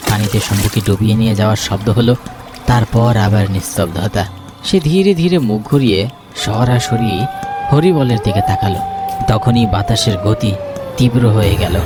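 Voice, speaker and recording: A man narrating a scripted ghost story. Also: mid-range voice. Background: background music.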